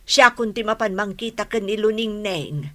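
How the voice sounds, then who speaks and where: A woman's voice: mid-range voice, moderate pace, sharp tone, close to an everyday voice. A woman playing a concerned, protective middle-aged village relative in a radio drama, insistent and fretting.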